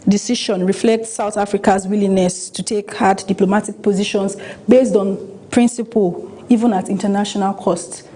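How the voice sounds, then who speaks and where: A woman hosting a talk show panel, measured and interjecting with points of her own. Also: medium pitch, steady tone, warm tone. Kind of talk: panel discussion.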